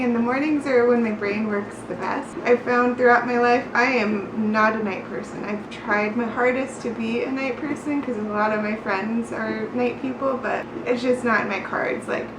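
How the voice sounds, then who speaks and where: Higher-voiced woman chatting in an echoey, noisy room. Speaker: vlogger learning a language. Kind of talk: casual study vlog chat. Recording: roomy echo, clear background noise.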